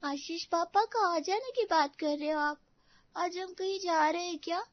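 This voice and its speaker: A woman's voice: very high-pitched, moderate pace, dry recording, lo-fi sound, voice pushed up. A woman voicing a sweet, anxious little girl with a small, innocent, pleading voice in a story. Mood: innocent, eager. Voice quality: small childlike voice.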